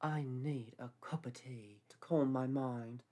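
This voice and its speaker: A man's voice: mid-range voice, fairly even intonation, slow, dark tone, pitched above the performer's own voice, natural voice. A man voicing a posh, fretful older woman doctor with a prim, fussy manner.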